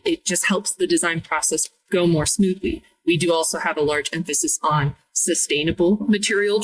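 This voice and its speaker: A woman in her thirties from Minnesota, a materials scientist answering interview questions in a clear, explanatory way. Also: low-pitched, distant mic, poor audio. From Minnesota, United States.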